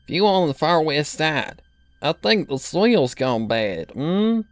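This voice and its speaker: A man's voice: a little high, very animated, slow, no room sound, voice pushed up, full character voice. A man voicing an elderly country granny pony from a cartoon fan story, with a folksy rural Southern drawl. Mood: worried, folksy. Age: older. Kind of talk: fan fiction character reading.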